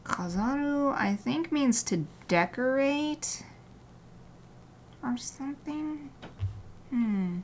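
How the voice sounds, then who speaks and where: A woman artist chatting casually during a livestream as she paints, rambling and often dropping to a soft murmur, with light laughter. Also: high-pitched, expressive, drawn-out words, pauses often, a little hiss or hum. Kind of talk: casual chat while painting.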